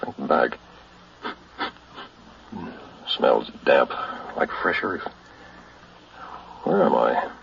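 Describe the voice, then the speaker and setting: An actor playing a frightened man trapped alive in a coffin, halting between muttering and desperate cries, in 1960s radio horror style. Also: extremely low pitch, creaky, full character voice. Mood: groggy, puzzled. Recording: dull, hissy vintage radio recording.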